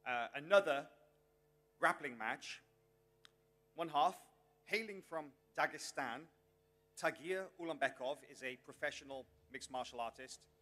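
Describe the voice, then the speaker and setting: Bright-voiced male event host. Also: fairly high pitch. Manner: formal, announcer-like delivery. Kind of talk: press conference introductions.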